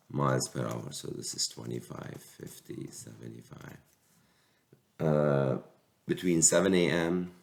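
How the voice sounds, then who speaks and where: Sick male math teacher, slow halting lecture delivery, very low voice. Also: vocal fry. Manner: slow, halting explanation with restarts. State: sick with a cold. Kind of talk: recorded calculus lecture.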